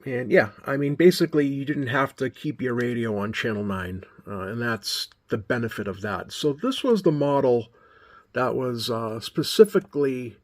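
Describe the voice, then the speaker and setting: Man giving a halting, rambling show-and-tell. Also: mid-range voice. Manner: halting, rambling, self-correcting delivery. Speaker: host of a radio hobby video. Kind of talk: casual unscripted show-and-tell commentary.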